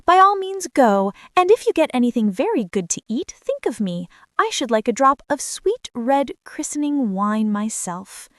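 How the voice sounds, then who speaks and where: An earnest little she-mouse from a fairy tale, acted out by a woman. She is trusting and exclamatory, with a high voice that leaps about in pitch. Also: big pitch swings, moderate pace, few pauses, dry recording, studio quality. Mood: agreeable. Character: small, trusting, good-natured female mouse, easily puzzled. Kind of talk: children's fairy-tale character voice.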